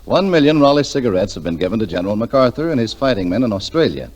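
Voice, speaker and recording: Animated American radio announcer man. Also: mid-range voice, racing. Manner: polished, emphatic sales delivery. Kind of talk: 1940s scripted radio advertisement. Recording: vintage 1940s radio broadcast.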